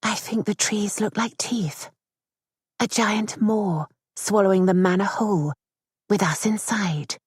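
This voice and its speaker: A woman voicing a shy, earnest young society lady who speaks softly and hesitantly. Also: medium pitch, animated, unhurried, slightly rough, no echo, clean studio sound, pitched above the performer's own voice. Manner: soft, hesitant, trembling. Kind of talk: audiobook character voice.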